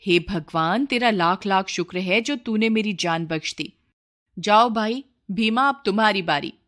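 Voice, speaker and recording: A woman's voice: medium pitch, unhurried, a bit bright, lightly acted. A woman voicing a frightened village man in a moral story, pleading and exclaiming as he bargains. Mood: relieved.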